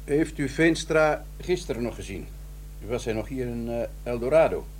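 An actor playing a prim police detective who questions with hesitant fillers in a radio drama. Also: male voice, mid-range voice, expressive, normal speed, slight background noise, close to an everyday voice. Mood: probing.

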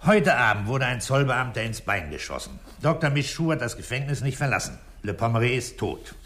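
An actor playing a methodical, dryly impatient police commissioner in a 1960s German radio crime drama, speaking in a measured, authoritative way. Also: male voice, medium pitch, very animated, a bit bright. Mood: firm, pointed. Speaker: police commissioner leading an investigation.

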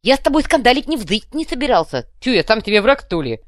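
A loud, high-pitched savage gang leader acted out by a woman for an audiobook. He is dim and puffed up with his own importance, blustering in crude slang with big swings of pitch. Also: sing-song, fast talker, dry recording, studio quality. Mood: alarmed protest. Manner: crude slangy speech, pompous and indignant. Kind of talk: audiobook character voice.

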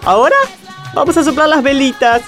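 A man voicing a gushing, affected woman party hostess in falsetto, in a parody radio play. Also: fairly quick. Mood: gushing, festive. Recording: music behind the voice.

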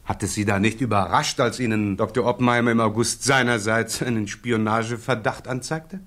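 An actor playing a formal, methodical lawyer who questions a witness at a hearing in a radio play. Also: male voice, lowish voice, steady tone, fairly quick, fairly bright tone, close to an everyday voice.

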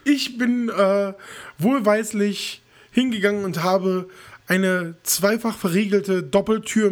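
Podcast co-host, man, high voice, hoarse and nasal, rambling crude jokes. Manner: joking, rambling, crude humor. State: hoarse and stuffed nose. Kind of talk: casual chat.

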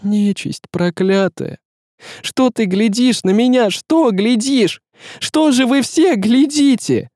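Guy doing a spiteful grieving queen, hissy n shrieky, way high, half crazy, audiobook style. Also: takes it slowly, darkish tone, no room sound, clean studio sound. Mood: venomous, distraught. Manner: hissing and shrieking, overwrought. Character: bitter, half-mad tsaritsa, an aging grieving mother, spiteful.

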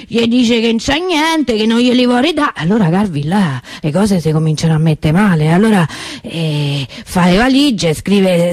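An actress playing a chatty, cynical working-class souvenir hawker with a Roman accent in a radio monologue. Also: female voice, fairly low pitch, a little mellow, slightly rough, no room sound. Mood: animated recounting, then confiding storytelling. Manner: rambling street storyteller, wry, colloquial. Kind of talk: colloquial theatrical monologue for radio.

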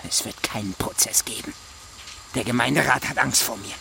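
A menacing gamekeeper with an unhinged edge in a radio play. His mid-pitched voice moves haltingly and intensely between whispers and outbursts. Noise like rainfall sits behind him. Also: vocal fry, pushed and bright. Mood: threatening. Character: unhinged, menacing male gamekeeper, a child killer. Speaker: actor. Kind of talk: German radio drama acting.

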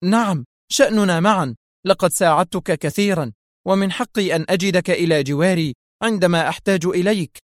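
A man voicing a hot-tempered, scheming woman crime boss in audiobook dialogue, sharp, agitated and insistent. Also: high voice, a little fast, no room sound, clean studio sound, pitched above the performer's own voice, close to an everyday voice. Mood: insistent, angry.

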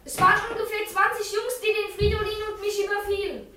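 A woman's voice: extremely high pitch, flat delivery, fast, crowd noise. An actress playing a polite schoolboy who gives stiff answers to his teacher in a 1950s radio play. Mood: dutiful. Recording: echoey room from a distance.

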